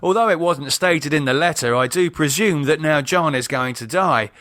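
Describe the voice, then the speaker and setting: A man's voice: on the high side, normal speed, fairly bright tone, no room sound, clean studio sound, voice pushed up, natural voice. A man playing an uneasy, reluctant man with a dryly sarcastic, hesitant manner in audiobook dialogue. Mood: sardonic, anxious. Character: uneasy, sardonic adult man, wary and reluctant.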